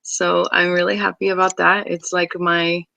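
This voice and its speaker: A woman's voice: fairly low pitch, level tone, normal speed, vocal fry, bright, forceful tone, no room sound, low-quality recording. A woman in her thirties, originally from Brazil, chatting casually as she introduces herself to a class, with many ums and restarts. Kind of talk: casual self-introduction video.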